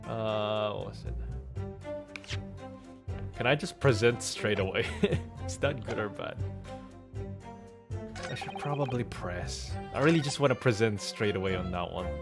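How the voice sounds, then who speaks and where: A man's voice: on the low side, a little slow, vocal fry, pauses often, over music. A man streaming a video game with casual, slangy, sweary commentary.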